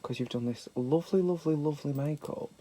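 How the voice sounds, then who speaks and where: A man's voice: fairly high pitch, fast talker, warm tone. A young British man with a slightly nasal voice from a sniffle, chatting casually and self-mockingly through a makeup tutorial. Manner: sweary, camp and self-mocking. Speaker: makeup vlogger.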